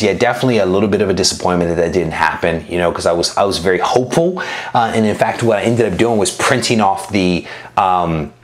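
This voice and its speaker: A man in his forties with a deep, low voice hosts a casual livestream. He talks in a relaxed, meandering way, full of fillers and restarts as he answers chat comments. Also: a little hiss or hum. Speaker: comic collecting channel host.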